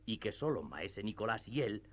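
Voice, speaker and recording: A man narrating a classic tale for radio theatre in a measured storytelling manner. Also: fairly low pitch, sing-song, highs cut off.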